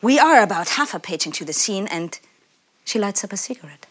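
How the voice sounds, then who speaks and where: A husky casting director played by a woman holds forth in a theatrical monologue. Her pitch swings widely as she tells her story with exasperated emphasis. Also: very animated, fairly quick, fairly bright tone. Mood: scornful, incredulous. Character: dramatic, exasperated female casting director telling an audition story. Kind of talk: theatrical spoken-word monologue.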